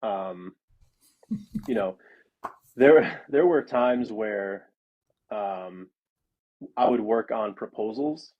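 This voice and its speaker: A man's voice: fairly low pitch, extremely slow, long pauses, darkish tone, dull audio. A man of thirty-one, an architect guest in a casual interview, talking in a chatty, rambling way full of "like" and "um". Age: 30s.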